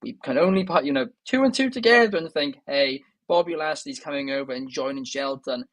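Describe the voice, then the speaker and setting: A man's voice: on the high side, expressive, no echo. Chatty Welsh man, dry close sound. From Wales. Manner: chatty, enthusiastic, quick asides to viewers. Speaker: podcast host. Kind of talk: casual news chat.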